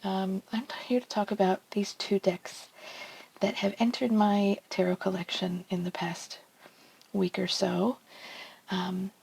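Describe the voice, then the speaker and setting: A woman's voice: mid-range voice, little pitch movement, unhurried, gravelly, a little mellow, slightly rough, poor audio. A woman from New Zealand with a New Zealand accent, chatting casually and enthusiastically to camera as a tarot channel host, with lots of ums and asides.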